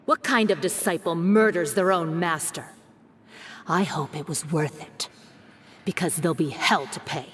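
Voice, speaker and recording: A woman's voice: fairly low pitch, unhurried, slight background noise, lightly acted. A woman voicing a sneering accuser. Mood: scornful. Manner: sneering, cold.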